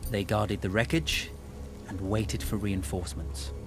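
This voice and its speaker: A man playing a composed British officer, narrating a desert war in a measured, solemn way for a video game. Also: fairly low pitch, steady tone, over music, close to an everyday voice. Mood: calm, knowing. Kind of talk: scripted dramatic narration.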